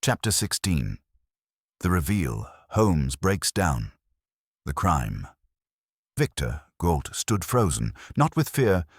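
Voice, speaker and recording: A man's voice: deep voice, no room sound, clean studio sound. A man reading a scripted detective mystery as a dramatic audiobook narrator.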